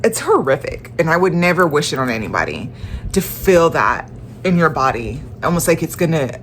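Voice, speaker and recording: A young woman with a stuffy, congested voice, talking in a casual personal vlog, halting and tired, with many restarts and fillers. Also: sits low, sing-song, slight background noise. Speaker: vlogger.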